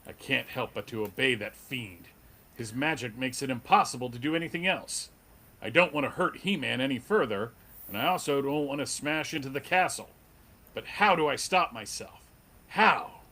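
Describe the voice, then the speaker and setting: A man's voice: mid-range voice, sharp tone. A man playing an earnest, noble superhero in a melodramatic comic book table read. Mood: anguished, straining.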